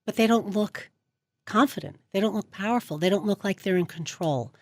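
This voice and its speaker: A woman's voice: medium pitch, a little fast, darkish tone, dry recording. A middle-aged woman, a speech coach, explaining voice habits on a radio show in a studio. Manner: demonstrates voice habits with her own voice.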